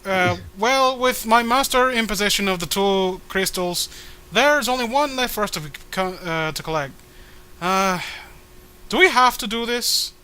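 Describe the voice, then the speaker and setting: Man doing a high, whiny, reluctant spirit sidekick. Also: a little slow, bright, forceful tone, clean tone. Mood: pleading. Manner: hesitant, whiny pleading. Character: reluctant, pleading dog-spirit sidekick, sick of its master. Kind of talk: comic dramatic reading of a webcomic.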